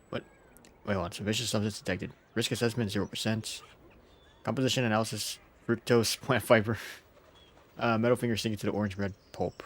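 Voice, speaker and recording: A man doing casual, chatty live gameplay commentary as a streamer, with game music behind him. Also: fairly low pitch. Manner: chatty, laughs often, casual swearing. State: feeling unwell. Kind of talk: casual live gameplay commentary, reading story summaries aloud.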